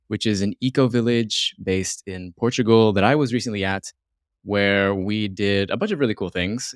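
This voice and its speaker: Male podcast host, slightly low voice, dry close sound, informal and rambling. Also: dry recording. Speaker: interviewer and host. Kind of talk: casual conversational interview.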